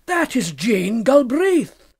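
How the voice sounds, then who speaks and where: A man's voice: very high voice, sing-song, takes it slowly, creaky, breathy edge, pitched above the performer's own voice, full character voice. A man voicing a horrified, earnest young medical student in a storybook reading, exclaiming and protesting emphatically.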